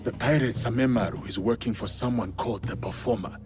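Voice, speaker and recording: A man's voice: medium pitch, over music, natural voice. A man as a solemn, courteous warrior. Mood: resolute. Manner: formal, restrained, measured. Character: solemn, courteous male warrior seeking answers. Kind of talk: dramatic video game cutscene acting.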